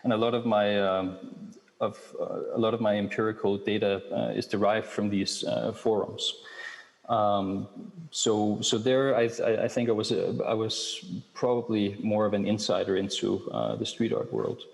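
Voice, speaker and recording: A middle-aged Danish-born researcher giving a lecture and answering questions, measured and hesitant with frequent fillers. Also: male voice, on the low side, level tone, creaky. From Denmark. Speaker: keynote speaker, researcher. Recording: long hall reverb.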